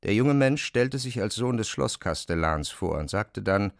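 A man's voice: fairly low pitch, animated, moderate pace, creaky, no room sound, studio quality. A man narrating a scripted literary radio drama as its storyteller. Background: faint music.

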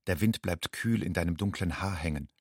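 An actor playing a haunted, watchful refugee man in a radio drama monologue, husky, speaking in measured, stark fragments. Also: low voice, no room sound, studio quality. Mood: grave, intense.

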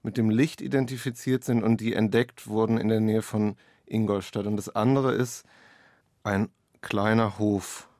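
A man's voice: on the low side, warm tone, no echo, studio quality. A man speaking as an author in an interview, picking his phrasing hesitantly as he explains his work. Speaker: author and playwright. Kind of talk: interview conversation about own work.

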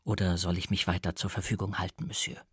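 A discreet valet, played by a male reader, soft-spoken and formal. The voice drops low and stays subservient, as in an audiobook story, with a dry, close sound. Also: flat delivery, fast, slightly rough, no room sound. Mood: solicitous. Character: deferential, discreet male valet attending his master. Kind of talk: literary audiobook character voice.

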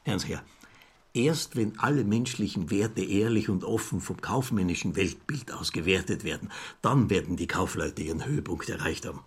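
A bohemian Viennese editor in a literary radio drama. His voice is low and husky with an Austrian accent. He lectures in wordy, pompous sentences, like a self-appointed philosopher. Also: expressive, normal speed, full character voice. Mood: grandly prophetic.

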